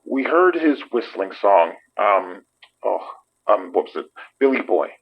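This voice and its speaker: A man playing a wary police detective in an audio drama, husky, terse and questioning. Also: fairly low pitch, very animated, measured pace, highs cut off, heavily acted. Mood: guarded.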